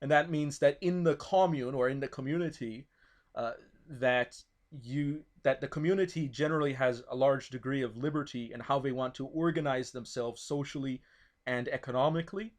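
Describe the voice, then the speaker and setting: A man's voice: medium pitch, moderate pace. A man whose first language is not English, explaining his political ideas in a discussion, thoughtful, with many fillers and restarts. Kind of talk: casual discussion.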